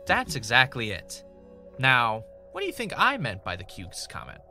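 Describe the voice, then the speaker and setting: A man's voice: mid-range voice, wildly swooping pitch, normal speed, sharp tone, faint music behind, heavily acted. An actor playing a joking, evasive male podcaster in naturalistic, bantering audio drama dialogue. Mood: teasing.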